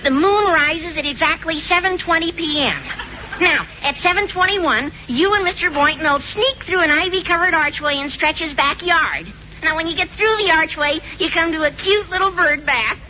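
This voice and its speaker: A man playing an eager, florid teenage schoolboy with a squeaky adolescent voice in a 1940s radio sitcom. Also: moderate pace. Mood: eager, scheming. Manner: florid, excitable, earnest. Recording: dull old radio recording, noisy studio audience.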